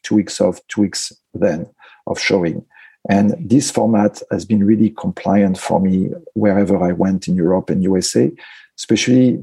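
A man's voice: lowish voice, vocal fry, dark tone, husky, no echo. A man, a veterinarian, answering interview questions in careful English, thoughtful and full of fillers. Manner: thoughtful, non-native phrasing with frequent fillers. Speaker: equine veterinarian guest.

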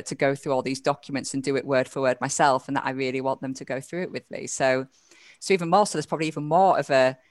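A podcast host speaks in a middle pitch, picking words carefully and correcting themselves as they go. The sound is close and dry. Fillers like "um" and "sort of" run through their thoughtful replies. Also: dry recording. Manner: thoughtful, hesitant, many fillers and self-corrections. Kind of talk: casual conversational interview.

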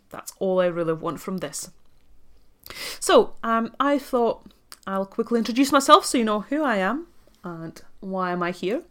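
A woman in her thirties from Latvia, a native Russian speaker whose English carries a Latvian accent with a Scottish tinge, hosting a solo podcast in an earnest, chatty way with frequent ums. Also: mid-range voice. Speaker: podcast host and life coach.

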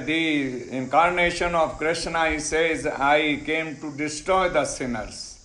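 A middle-aged Indian man whose first language is Hindi, telling his faith story slowly and deliberately, pausing on many "uh"s and repeating phrases. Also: a little high, a bit bright, reverberant, low-quality recording. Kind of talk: unhurried personal testimony. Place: ashram in India.

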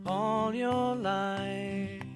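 Slow woman singer over guitar music. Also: mid-range voice, fairly even intonation. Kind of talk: slow singing, acoustic cover song.

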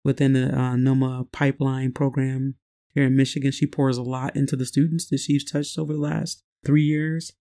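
A man's voice: mid-range voice, level tone, normal speed, mellow. A man co-hosting a podcast, introducing a guest in an easygoing, rambling way. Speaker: podcast co-host.

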